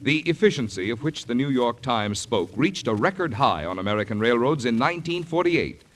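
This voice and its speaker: Man for a vintage 1940s radio announcement, formal scripted delivery with animated pitch swings. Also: brisk. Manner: formal, declamatory.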